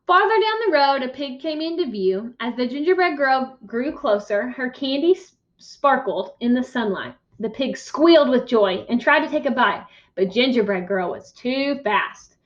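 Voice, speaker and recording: A woman teacher reading a picture book aloud to young children, expressive and instructive, pausing to ask questions. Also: high-pitched. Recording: dull, muffled lo-fi sound.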